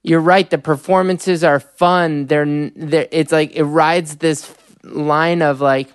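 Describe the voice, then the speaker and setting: Smooth-voiced podcast host. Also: mid-range voice, steady tone, slow, dry recording, studio quality. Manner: rambling, thinks aloud with fillers while framing questions. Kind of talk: casual interview questions.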